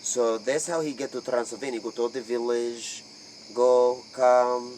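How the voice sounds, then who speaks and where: An ancient vampire of an elite Transylvanian clan acted out by a man answers an interviewer in broken, halting English. He speaks very deliberately and slowly, repeating short phrases. Crickets and clear background noise surround the voice. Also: mid-range voice, level tone, close to an everyday voice. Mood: solemn, boastful. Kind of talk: improvised mock interview. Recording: noisy outdoor ambience with crickets.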